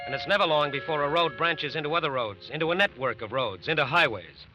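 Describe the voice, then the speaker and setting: An actor as the earnest, dramatic narrator of an old radio crime drama, emphatic and deliberate. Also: male voice, a little high, over music, lightly acted. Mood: tense storytelling. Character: earnest, dramatic male narrator of FBI manhunt. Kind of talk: scripted narration, 1940s-50s radio drama.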